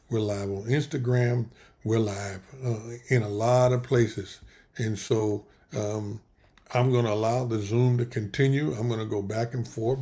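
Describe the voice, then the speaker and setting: Gravelly-voiced pastor rambling on a livestream. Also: male voice, fairly low pitch, mellow. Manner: rambling, halting, many restarts. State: losing his voice. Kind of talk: casual livestream talk with prayer.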